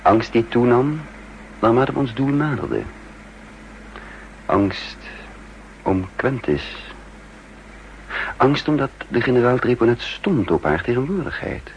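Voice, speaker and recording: A man narrating in the first person in a 1960s radio spy drama, measured and guarded. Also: medium pitch, lively intonation, a little hiss or hum. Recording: dull lo-fi 1960s radio recording.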